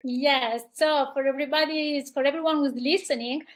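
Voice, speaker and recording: A woman with a high voice hosts a podcast over a video call. She sounds effusive and enthusiastic, sometimes restarting her phrases as she introduces her guest. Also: steady tone, normal speed. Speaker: podcast host. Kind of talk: warm conversational interview.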